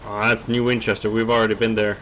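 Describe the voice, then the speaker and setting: A man's voice: lowish voice, little pitch movement, quick pace, fairly bright tone, a little hiss or hum. A man hosting a casual gaming stream, chatting and reading game text aloud, often stumbling and rereading words.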